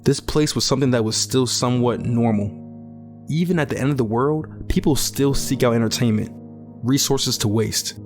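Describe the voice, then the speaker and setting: A man's voice: medium pitch, moderate pace, a little mellow, lightly acted. A man playing a weary, reflective young betting clerk in a post-apocalyptic audio drama, speaking in a subdued, polite way. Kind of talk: audio drama narration and dialogue. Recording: music under the voice. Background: music.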